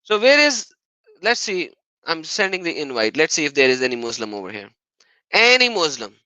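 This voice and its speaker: A man's voice: on the high side, big pitch swings, normal speed, pushed and bright, no room sound, low-quality recording. A man hosting an argumentative live stream on religion, often mocking and repeating phrases for emphasis.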